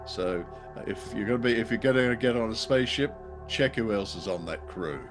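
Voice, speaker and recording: A man's voice: fairly low pitch, a little fast, over music. A man co-hosting a podcast in casual review chat, with a wry, jokey way of talking. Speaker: podcast co-host.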